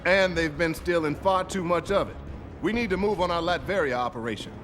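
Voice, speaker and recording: A man voicing a brisk, dry, commanding spy agency director in a video game cutscene. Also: a little high, lightly acted. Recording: music behind the voice.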